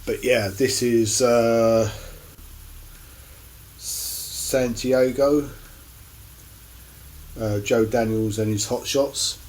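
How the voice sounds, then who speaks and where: A man giving a casual, unscripted show-and-tell to camera as a record collector, in a halting, rambling way with many pauses. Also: medium pitch, steady tone, a little mellow, a little hiss or hum. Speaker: record collector presenting finds on his channel.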